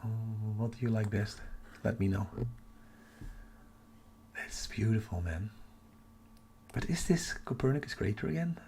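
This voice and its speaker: Guy doing a casual livestream, half whispering, kinda croaky, noise in the background. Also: big pitch swings, a little slow, long pauses, darkish tone. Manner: keeping voice low for sleeping household. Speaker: astronomy livestream host. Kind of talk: casual live chat with viewers.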